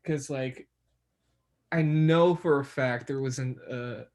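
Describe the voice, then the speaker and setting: A man's voice: medium pitch, takes it slowly, a little mellow. Hesitant young man, casual podcast chat. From New York, USA. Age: young adult. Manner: hesitant, many fillers and restarts. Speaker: podcast co-host.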